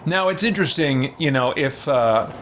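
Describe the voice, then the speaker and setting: A man's voice: medium pitch, lively intonation, normal speed, fairly bright tone, slight background noise. A man hosting a gaming series, giving reflective, conversational commentary as he thinks aloud. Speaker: host of a roleplay gaming series.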